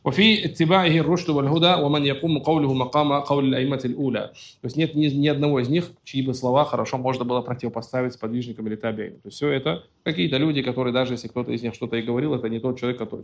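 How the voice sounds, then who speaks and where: A man's voice: mid-range voice, steady tone, racing. A man giving a religious lecture, reading out Arabic passages and then explaining them plainly in his own words. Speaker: religious teacher.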